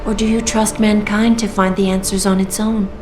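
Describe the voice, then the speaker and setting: A woman voicing a female artificial intelligence in a video game, speaking in a smooth, measured and coolly persuasive way. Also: medium pitch, level tone, natural voice. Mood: calm persuasion. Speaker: AI guiding the player through a choice. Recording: roomy game audio with clear music.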